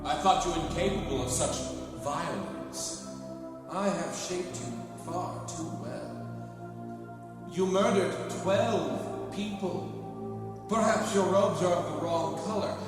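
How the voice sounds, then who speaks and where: An actor playing a taunting, cruel high priest in a fantasy audio drama, with a mocking, grandiose, sinister delivery. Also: male voice, slow, over loud music. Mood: smug taunting.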